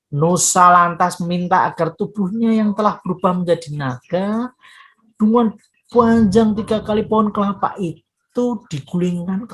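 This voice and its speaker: A woman storyteller narrating a children's folktale expressively, with deliberate, drawn-out dramatic phrasing. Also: deep voice, husky, poor audio.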